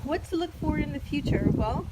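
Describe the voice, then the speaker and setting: A woman who is losing her voice, a hoarse orchid grower chatting casually outdoors with lots of ums and uhs. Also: high voice, animated, brisk, very noisy. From Florida. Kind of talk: casual vlog walkthrough. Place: outdoors in a chilly backyard.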